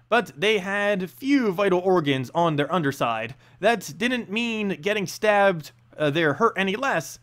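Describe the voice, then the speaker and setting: A man narrating a fantasy story aloud in an expressive, dramatic storybook style. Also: sits high. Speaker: narrator and channel host.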